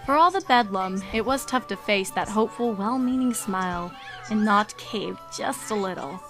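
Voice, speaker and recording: A woman's voice: mid-range voice, expressive, normal speed, fairly bright tone. A woman reading scripted story narration as the narrator of a fan fiction audio drama. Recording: music behind the voice.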